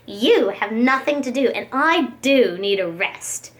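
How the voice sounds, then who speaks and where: Woman doing a high, lazy, wheedling lady bird. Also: lively intonation, measured pace. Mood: coaxing. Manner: coaxing, complaining. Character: lazy female bird, wheedling and self-centred.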